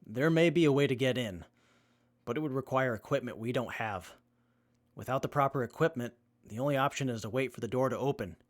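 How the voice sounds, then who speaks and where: A man acting an earnest young wasteland survivor in an audio drama. He has a mid-pitched voice and a sincere, matter-of-fact delivery. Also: fairly quick, lightly acted. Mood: grave explanation. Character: Johnny, earnest, apologetic, knowledgeable young man.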